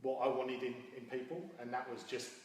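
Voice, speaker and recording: A man's voice: mid-range voice, monotone, brisk, darkish tone. A man, telling his story informally as a coach being interviewed. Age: 40s. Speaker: guest, MMA coach and founder. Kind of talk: casual interview answers. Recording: very echoey hall, distant mic, faint noise.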